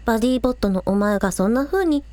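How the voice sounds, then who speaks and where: A woman's voice: a little high, lively intonation, quick pace, a little mellow, dry recording, close to an everyday voice. A woman playing a spirited, earnest young village idol, polite with superiors and breezy with friends, in a radio drama. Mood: teasing.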